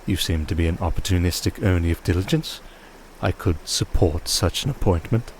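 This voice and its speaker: A man playing a commanding, composed vampire archduke in a fantasy audio roleplay, speaking in a formal, measured, dryly teasing way. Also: low-pitched, slight background noise, close to an everyday voice. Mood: calculating, composed.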